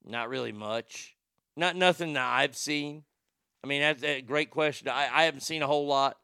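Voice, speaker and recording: A man's voice: mid-range voice, moderate pace, creaky, sharp tone, smooth, dry recording, studio quality. A middle-aged man from Texas with a stutter, hosting a casual show where he reads viewer comments and answers them bluntly. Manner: rambling, blunt, profane, laughs at his own jokes. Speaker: livestream show host.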